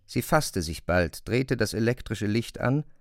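A man narrating a literary radio drama with measured, descriptive storytelling. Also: fairly low pitch, lively intonation, dry recording, studio quality.

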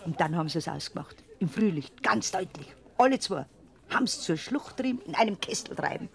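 A gossiping old Bavarian aunt. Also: female voice, lowish voice, lively intonation, a little fast, gravelly. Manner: gossipy, scolding, earthy. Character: older peasant woman, gossiping aunt. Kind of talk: classic radio play acting.

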